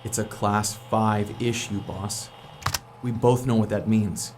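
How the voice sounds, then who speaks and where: A man's voice: lowish voice, fairly even intonation, slow pace, background noise. A man playing a hot-headed, sceptical astronaut technician in a science fiction audio drama, sardonic and informal. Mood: grim.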